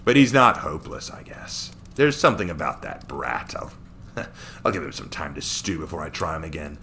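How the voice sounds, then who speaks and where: A man voicing an arrogant, sneering male warrior villain in a story, with contemptuous, drawn-out muttering. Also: a bass voice, big pitch swings, pushed and bright, a little hiss or hum, heavily acted. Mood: scornful, musing.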